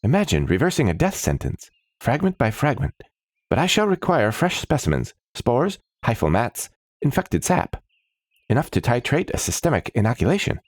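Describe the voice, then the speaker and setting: A man's voice: medium pitch, huge pitch swings, normal speed, dry recording, studio quality, voice pushed up. A man voicing an eager, chirpy woman alchemist doctor in a fantasy story, speaking in precise, wordy scientific jargon. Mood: fervent pride. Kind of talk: fantasy story character dialogue.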